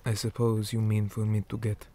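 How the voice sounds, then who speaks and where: Guy doing a tired orc commander for asmr roleplay, mellow dark voice, pretty flat, hushed n deliberate, stern soldier type. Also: on the low side, a little hiss or hum, natural voice. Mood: calm, probing. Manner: slow, deliberate, hushed, often dropping to a whisper. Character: weary male orc commander, stern, measured, dutiful soldier. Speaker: interrogator in a roleplay.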